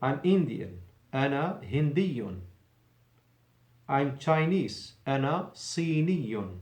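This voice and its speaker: Guy teaching an arabic class, patient, repeats stuff, mid pitch, roomy echo. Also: sing-song, a little slow, a little mellow. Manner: explains patiently, repeats phrases, mixes English with Arabic examples. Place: mosque classroom.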